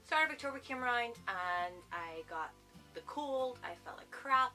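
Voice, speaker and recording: A young woman, chatting to camera as a book vlogger, rambling and excitable and laughing at herself. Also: mid-range voice, rough recording. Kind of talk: casual chat to camera.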